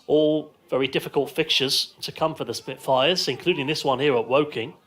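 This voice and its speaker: A man's voice: a little high, steady tone, normal speed, fairly bright tone. A man giving live radio football commentary from a stand, describing play in short, clipped phrases. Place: outdoors in a football ground stand.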